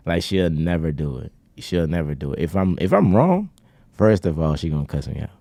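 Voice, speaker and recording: A man in his thirties with an extremely deep, mellow voice holds forth in casual podcast chat. He talks in long, earnest, winding runs. The sound is dry and close to the mic. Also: lively intonation, fairly quick, creaky, no room sound. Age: 30s. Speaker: podcast co-host.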